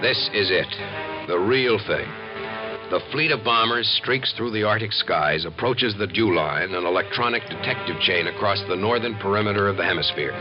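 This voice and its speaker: A man's voice: fairly low pitch, lightly acted. An American man playing an earnest, assured civil defense expert who explains and narrates in a 1950s radio documentary. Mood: grave, dramatic. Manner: explanatory, folksy, emphatic. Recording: dull old radio recording with music.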